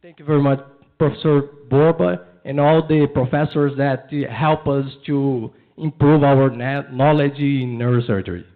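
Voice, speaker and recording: A man with a Brazilian accent, a native Portuguese speaker, giving a halting thank-you speech as a medical resident, searching for words. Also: medium pitch, steady tone. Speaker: neurosurgery resident. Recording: narrow tinny phone-like sound.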